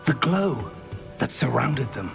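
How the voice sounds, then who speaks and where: An actor playing an earnest, middle-aged wizard professor in a video game, speaking in a measured, thoughtful, formal way. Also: male voice, mid-range voice, big pitch swings, vocal fry, faint music behind, heavily acted. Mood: awed, thoughtful. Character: earnest scholarly wizard professor, calm and protective. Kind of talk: video game cutscene acting.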